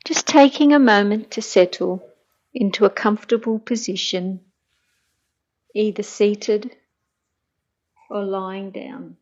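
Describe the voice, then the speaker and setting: A middle-aged woman guides a meditation on a slightly dull, muffled recording. She speaks very slowly and gently, with a soft, mellow tone. Her delivery is soothing and hushed, at times breathy. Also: medium pitch.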